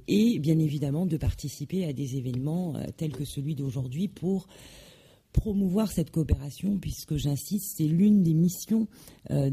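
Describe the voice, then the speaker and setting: A woman giving a formal presentation at a conference, measured and halting, with many hesitations and self-corrections. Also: deep voice, gravelly, warm tone, slightly rough. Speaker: conference speaker.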